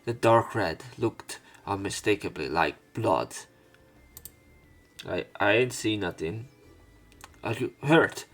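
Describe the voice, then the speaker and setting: A man's voice: on the low side, expressive, takes it slowly, long pauses, soft background music. A man reading visual novel text aloud and breaking off for casual, sweary let's play commentary. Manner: casual, sweary interjections between read lines.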